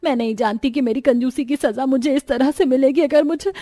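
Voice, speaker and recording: A woman's voice: high-pitched, brisk, warm tone. A woman voicing a stingy, scolding middle-aged mother-in-law in a cartoon story, with a bossy, nagging manner. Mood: defensive, pleading. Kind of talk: Hindi cartoon story voice acting.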